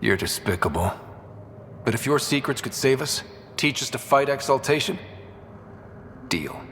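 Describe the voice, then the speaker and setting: An actor playing a wry, decisive human explorer and leader in a video game. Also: male voice, mid-range voice, expressive, normal speed, fairly bright tone, long reverb tail, slight background noise. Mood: stern. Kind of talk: dramatic video game dialogue.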